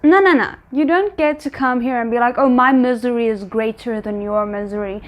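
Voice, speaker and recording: A woman giving casual, expressive reaction commentary on a drama, thinking aloud as she goes. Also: fairly high pitch, a little fast, lo-fi sound. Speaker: reaction video host.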